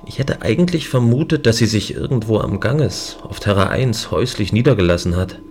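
Male actor as lonely space watchman, low voice, dry and reflective, sci-fi radio play with faint music bed. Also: animated, brisk, a little mellow. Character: lonely male space station guardian, brooding and wry. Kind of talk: scripted first-person audio drama narration.

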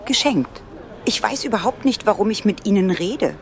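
A woman's voice: mid-range voice, expressive, moderate pace, lightly acted. An actress playing a sardonic, prickly woman traveller in an audio drama, sarcastic and dismissive in manner. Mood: irritated. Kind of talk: German audio drama dialogue.

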